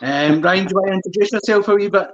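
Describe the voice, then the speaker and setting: Glaswegian male host, 30s, chatty and easygoing, slightly high voice, muffled lo-fi live-stream audio. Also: fast talker. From Scotland. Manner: chatty, laughs easily, local words like aye and wee. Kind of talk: casual live-stream chat.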